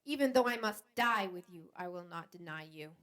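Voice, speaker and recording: A woman's voice: lowish voice, lively intonation, moderate pace, fairly bright tone. A woman reading the part of Peter in a church passion play, emphatic and insistent. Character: Peter, devoted male disciple.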